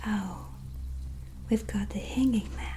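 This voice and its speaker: Slow, whispery woman in soft-spoken ASMR. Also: medium pitch, a little hiss or hum. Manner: slow, gentle, soothing, with pauses. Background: soft relaxing music.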